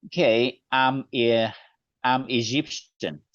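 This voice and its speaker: A man with a Vietnamese accent, whose first language is Vietnamese, leading an online teacher training session and slowing down on the phrases he models. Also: medium pitch, fairly even intonation, dry recording. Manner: clear, deliberate classroom delivery, slows on model phrases. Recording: dull, dry online call audio.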